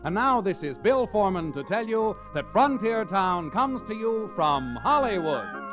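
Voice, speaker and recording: A male announcer from 1940s radio, pitched high and declaiming his script dramatically. Music plays clearly behind him on a restored old recording. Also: big pitch swings, a little slow.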